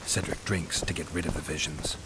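An actor playing a stoic, world-weary monster hunter in a video game, with a gruff, terse, deadpan delivery. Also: male voice, rumbling low, fairly quick. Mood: dry, brooding. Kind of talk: video game dramatic dialogue. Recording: steady rain and ambient noise behind.

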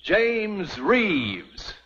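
A stern wagon train elder who hands out orders in formal speech, his voice husky with a lively pitch. The sound is a dull, old television soundtrack. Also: drawn-out words, full character voice. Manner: commanding, formal.

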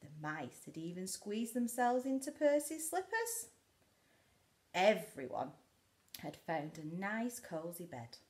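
Slow, expressive woman reading a storybook. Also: mid-range voice. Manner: dramatic, often drops to a hushed whisper. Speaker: school storyteller. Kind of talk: expressive picture-book read-aloud to young children. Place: living room indoors by a window.

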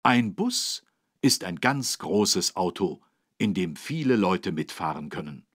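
A man's voice: sits low, sing-song, slow, heavy background noise, full character voice. A man playing a friendly, helpful policeman who explains things simply to small children in a German cartoon game. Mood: instructive. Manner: didactic, clearly phrased for small children. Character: friendly, helpful adult male policeman teaching children road safety.

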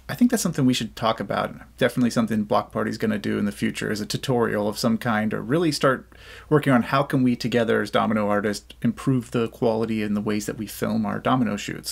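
A man's voice: fairly low pitch, gravelly. A man in his thirties from the United States, hosting a casual reaction video at a desk mic, upbeat and full of praise. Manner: upbeat and enthusiastic, quick to praise. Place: small indoor room at a desk. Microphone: desk condenser mic.

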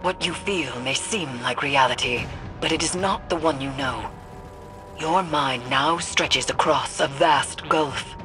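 A woman voicing a grave, mysterious mystic guide in a video game, solemn, measured and cryptic. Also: low-pitched. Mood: solemn, urgent. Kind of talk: scripted video game acting. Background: game music.

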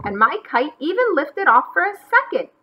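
A woman's voice: a little high, animated, takes it slowly, highs cut off, a little hiss or hum. A woman reading a picture book, voicing a grumpy, blustering man who exclaims indignantly. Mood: pleased, boastful. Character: grumpy, blustering man feuding with bunnies at the beach.

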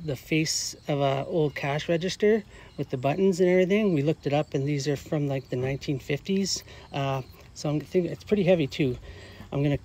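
A man in his forties with a fairly high voice chats casually to a handheld camera. He is plain-spoken and pauses with hesitations. Clear traffic and outdoor noise sits around his voice. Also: a little mellow. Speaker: vlog host, magnet fishing father. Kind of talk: casual outdoor vlog chat. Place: outdoors, bridge by a road and later outside at home. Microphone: handheld camera or phone. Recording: noisy outdoor traffic ambience.

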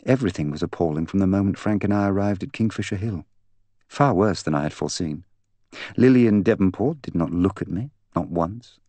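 Audiobook role: proud young woman, bitter and candid, intense delivery, low voice performed by a man. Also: expressive, a little fast, dark tone, dry recording. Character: proud, bitter young woman confessing to killing her fiancé. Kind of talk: audiobook character dialogue.

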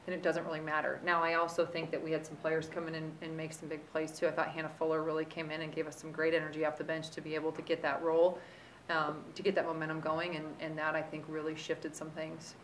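A woman basketball coach in her thirties answering press conference questions at a table microphone, steady and reflective, often restarting her sentences. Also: sits low, quick pace, slight background noise, poor audio. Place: press conference room with sponsor backdrop.

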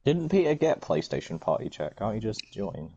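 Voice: mid-range voice, sing-song, moderate pace, dull audio, background noise. A player chatting laid-back over a game, explaining how roles work. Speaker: player in voice chat. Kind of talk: casual gaming chat.

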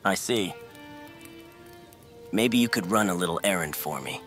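A man's voice: deep voice, unhurried, a bit bright. A man playing a polite, shrewd wandering merchant with a salesman's manner in a comic dub. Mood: helpful salesmanship. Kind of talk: manga comic dub acting. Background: music under the dialogue.

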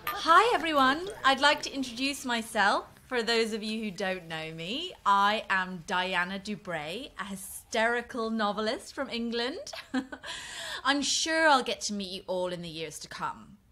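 Theatrical, animated lady novelist. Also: on the high side, measured pace, heavily acted. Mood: grandly pleased. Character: theatrical, self-absorbed romance novelist woman, cheerfully oblivious. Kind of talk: audio drama acting.

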